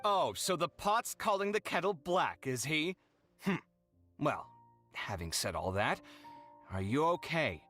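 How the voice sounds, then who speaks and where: High-voiced, theatrical, fussy young man. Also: measured pace, no room sound, exaggerated voice. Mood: exasperated. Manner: theatrical, huffy, expressive. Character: dramatic, emotional young man, fussy exasperated housemate. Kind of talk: animated video game voice acting. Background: music.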